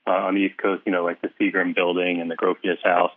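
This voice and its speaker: A lower-voiced man comes through a thin, phone-like line with a dry sound. He talks in a relaxed, friendly way, stopping often for "uh" as he gathers his thoughts. It sounds like a guest speaking on a remote call. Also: fast, no room sound. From Philadelphia area. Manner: hesitant, many uhs, relaxed and friendly. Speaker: guest author. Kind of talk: casual interview chat.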